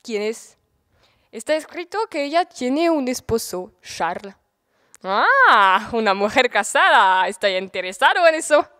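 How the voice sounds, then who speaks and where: A woman's voice: a little high, big pitch swings, a little slow, sharp tone, no echo, studio quality, exaggerated voice. A woman acting the part of a vain, lovesick middle-aged Spanish knight, pompous and boastful, in a student radio comedy.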